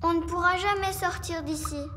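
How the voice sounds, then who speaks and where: A performer voicing an expressive, childlike young girl elephant in a French children's cartoon, affectionate and full of wonder. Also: extremely high pitch, moderate pace, big-room sound. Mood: downcast. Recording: children's cartoon music behind the voice. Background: music.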